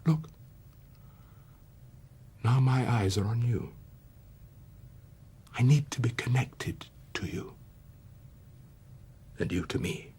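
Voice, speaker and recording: Actor as intense, commanding Victorian author. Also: male voice, mid-range voice, lively intonation, takes it slowly, long pauses, mellow, slightly rough, full character voice. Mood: hushed, intense. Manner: theatrical, intense, hypnotic. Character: Charles Dickens, intense commanding Victorian male author on stage. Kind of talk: dramatic monologue in a one-man biographical show.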